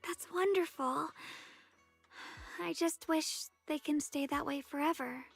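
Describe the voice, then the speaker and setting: An actress playing a sweet, caring young woman in an anime-style video game, speaking in a gentle, earnest and slightly hesitant way. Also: high-pitched, takes it slowly, creaky, exaggerated voice. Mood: wistful, uneasy. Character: sweet, caring young woman who bakes, shyly wistful. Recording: background music under voice.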